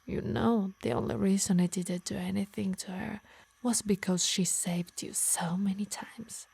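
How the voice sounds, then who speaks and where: A woman voicing a possessive, doting yet menacing harpy in an intimate ASMR roleplay, teasing and coaxing softly, often in whispers. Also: medium pitch, steady tone, unhurried, exaggerated voice. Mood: possessive, controlling. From Italy. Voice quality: breathy, soft. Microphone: close, dry microphone.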